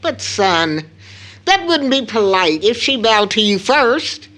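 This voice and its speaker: Expressive, proper mother. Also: medium pitch. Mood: earnest, concerned. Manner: measured, motherly, earnest. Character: caring, proper middle-aged mother, gently admonishing her children. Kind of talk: audio drama acting of an early 1900s stage play.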